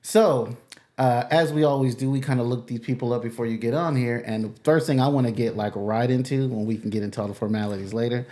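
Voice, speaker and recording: A man's voice: medium pitch, fast talker, dark tone. A man raised in San Diego, co-hosting a casual podcast with a playful, hyped-up way of talking. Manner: playful, hype, laughs easily. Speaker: podcast co-host.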